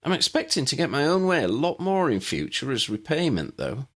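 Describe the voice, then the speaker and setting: Man putting on a cheeky young witch, raised pitch. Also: sing-song, brisk. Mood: cheeky, confident. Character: confident, cheeky young witch, niece of the old wizard.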